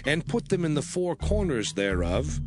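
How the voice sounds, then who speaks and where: Expressive solemn male scripture reader over music. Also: on the high side. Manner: solemn, formal, measured phrasing. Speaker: audio Bible reader. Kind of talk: dramatized scripture narration. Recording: prominent music under the voice.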